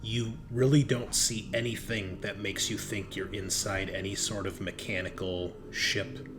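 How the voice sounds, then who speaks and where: Slow, low-voiced man as game master. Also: steady tone, background noise. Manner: halting, with drawn-out pauses as he describes scenes. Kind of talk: improvised narration of a horror tabletop game.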